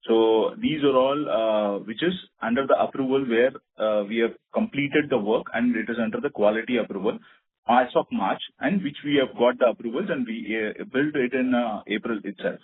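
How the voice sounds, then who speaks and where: A man's voice: mid-range voice, normal speed. A man with an Indian accent answering investor questions as a finance executive, over a phone line. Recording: tinny narrow phone line.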